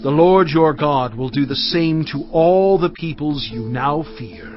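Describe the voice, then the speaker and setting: An actor playing a solemn, impassioned male prophet in a dramatised scripture reading, speaking with emphatic, oratorical, deliberate phrasing. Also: on the high side, natural voice. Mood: fervent warning. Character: Moses, solemn, impassioned male prophet exhorting his people. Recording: dull, band-limited audio with music behind.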